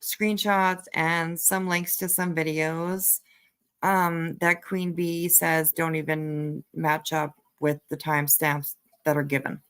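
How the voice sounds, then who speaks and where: A woman's voice: deep voice, level tone, slow, fairly bright tone, clean tone. A woman hosting a casual livestream commentary, talking bluntly and emphatically, repeating phrases for emphasis and swearing casually. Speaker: livestream host.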